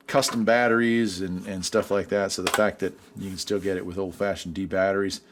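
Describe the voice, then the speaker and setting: Low-voiced man chatting through a product review. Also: a little hiss or hum. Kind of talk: casual product review chat.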